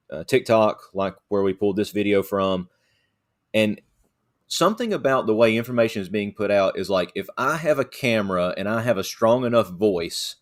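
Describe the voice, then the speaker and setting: A man co-hosting a podcast discussion, speaking in an earnest, conversational way. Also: lowish voice. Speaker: podcast co-host.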